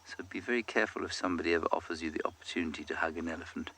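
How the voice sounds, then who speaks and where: Deep-voiced male safari guide outdoors. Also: rapid-fire, slight background noise. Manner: calm, explanatory, speaks directly to viewers. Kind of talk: live game drive commentary. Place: outdoors in the bush on a game drive.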